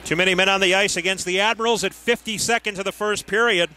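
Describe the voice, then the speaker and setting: A man calling ice hockey live on the radio as play-by-play announcer, with a clipped, steady running description. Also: high voice, fast talker.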